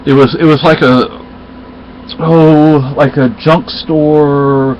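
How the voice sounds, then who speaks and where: Middle-aged man, rambling casual chat, halting restarts, dull lo-fi recording, faint background hiss. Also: on the high side, flat delivery. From Spain. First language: Spanish. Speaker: storyteller in casual conversation.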